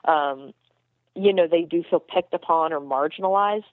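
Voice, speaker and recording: A woman's voice: deep voice, normal speed, no echo. Earnest woman on a tinny phone line. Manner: earnest, many restarts and repeated words. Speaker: radio co-host, basketball commentator. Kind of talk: casual radio chat.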